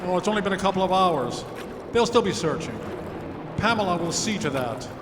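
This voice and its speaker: A man playing a steady, genial reverend in command of a small boat, acted in old-time radio adventure style. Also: sits high, fairly quick. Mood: reassuring. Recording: echoey hall, clear background noise.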